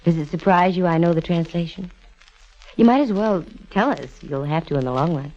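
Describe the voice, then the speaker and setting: Low-voiced brash actress as a lady private eye. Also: normal speed, a little mellow, close to an everyday voice. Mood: cool, taunting. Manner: breezy, slangy, wry delivery. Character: brash, wisecracking young female private detective. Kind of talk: 1940s-50s radio detective acting. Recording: muffled vintage radio broadcast.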